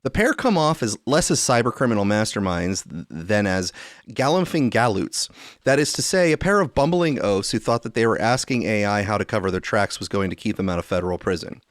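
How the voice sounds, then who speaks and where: A man with a low voice hosts a podcast, mixing loose talk with read-aloud news. His pitch moves around in a lively way, and the sound is dry and close. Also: fairly quick, no room sound. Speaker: podcast host.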